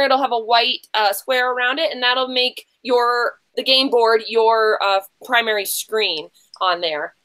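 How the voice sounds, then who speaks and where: A woman with a fairly high, sharply bright voice hosts a casual online game show. She is chatty and gushing. She rambles, breaks off mid-thought and drops in slangy asides as she goes. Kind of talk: casual livestream hosting, chatty.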